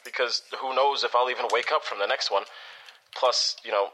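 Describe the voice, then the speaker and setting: A man's voice: mid-range voice, normal speed, bright, forceful tone, slight background noise, natural voice, rough recording. A young man acting as a nervous, doubtful superhero intern in an audio drama, hesitant and stammering. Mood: hesitant, uneasy. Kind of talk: naturalistic audio drama dialogue.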